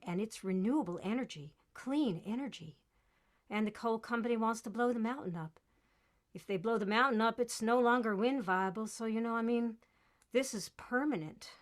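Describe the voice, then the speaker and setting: A woman performs an earnest West Virginia woman from a mining family who has become an activist. She speaks in a folksy, plain-spoken way, at a medium pitch, as in a theatrical storytelling monologue. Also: natural voice.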